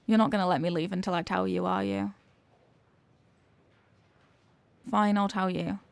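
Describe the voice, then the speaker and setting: A woman's voice: mid-range voice, steady tone, quick pace, vocal fry, dry recording. A young woman acting a flustered, coy popular cheerleader girl with a secret crush, in an intimate ASMR romance roleplay. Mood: reluctant. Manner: coy, flustered, dramatic, with hesitant stammers.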